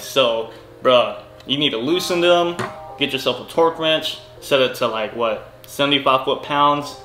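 A young man chats excitedly through a tool review, dropping slang and laughing as he goes. His voice sits at an ordinary pitch and rings around a bare garage. Music plays behind him. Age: young adult. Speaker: car and tool vlogger. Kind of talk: casual product review. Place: home garage with cars. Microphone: camera on desk, seated facing it. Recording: echoey garage with background music.

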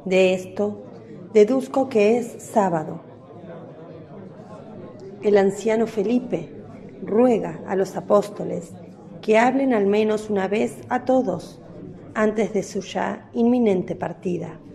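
A woman narrating a religious radio drama in measured, scripted storytelling. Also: medium pitch, vocal fry, mellow, a faint crowd.

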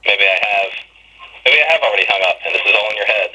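A man's voice: lowish voice, lively intonation, fast talker, bright, forceful tone. A man working as a store clerk, talking casually and patiently over the telephone, matter-of-fact. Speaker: video game store clerk. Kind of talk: casual phone conversation. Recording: harsh, raspy telephone line.

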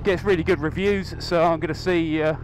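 A man's voice: fairly high pitch, fairly even intonation, fairly quick. Chatty man riding a motorbike. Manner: chatty, rambling, upbeat with filler ums. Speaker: motovlogger. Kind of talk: casual motorcycle vlog. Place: outdoors on a moving motorcycle. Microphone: helmet mic. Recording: faint road and engine noise.

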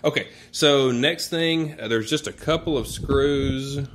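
A man's voice: mid-range voice, takes it slowly, background noise. A man giving a casual how-to narration in an informal, folksy way, thinking aloud as he goes, recorded in a room at home. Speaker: DIY demonstrator.

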